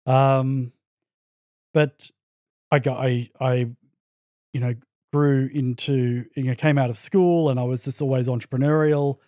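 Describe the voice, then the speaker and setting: A man's voice: medium pitch, steady tone, a little slow, pauses often, warm tone, no room sound, studio quality. An Australian man, a podcast guest, telling his story in a reflective, searching way in casual conversation.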